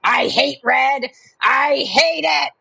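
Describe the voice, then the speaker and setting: A woman's voice: high-pitched, slow pace, vocal fry, pushed and bright, no room sound, heavily acted. A woman playing a distraught, seething wife calling into an improvised comedy advice show. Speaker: caller.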